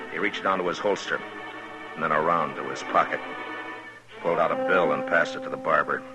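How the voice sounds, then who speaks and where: Actor playing a terse calm lawman, very deep voice, firm and unhurried, old radio drama. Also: natural voice, rough recording. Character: stern steady male frontier marshal. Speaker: narrator and lead. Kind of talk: 1950s radio drama acting and narration.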